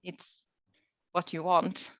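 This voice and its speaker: A woman researcher answering questions in an informal webinar, speaking thoughtfully and hesitantly with pauses mid-sentence. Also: lowish voice, completely flat delivery, fairly bright tone, dry recording. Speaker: researcher presenting a study as webinar guest. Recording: dull, lo-fi online call audio.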